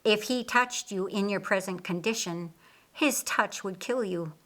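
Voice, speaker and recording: Woman reading as Jesus, a patient, measured teacher. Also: medium pitch, a bit bright, close to an everyday voice, low-quality recording. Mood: patient teaching. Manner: measured, explanatory.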